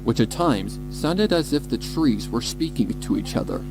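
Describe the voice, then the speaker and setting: A man narrating a scripted dark story in a measured, dramatic way. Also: mid-range voice, animated, rough recording. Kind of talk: scripted story narration, horror fan fiction. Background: background music.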